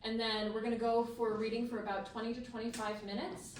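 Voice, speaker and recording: A woman's voice: a little high, level tone, fast talker. A woman speaking informally as the host of a live event, introducing it to an audience. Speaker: board member and event host. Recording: roomy, distant mic with faint noise.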